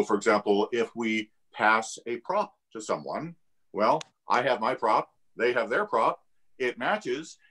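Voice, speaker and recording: A man, an actor on a discussion panel, explaining things in an articulate, measured and reflective way. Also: lowish voice, a bit bright.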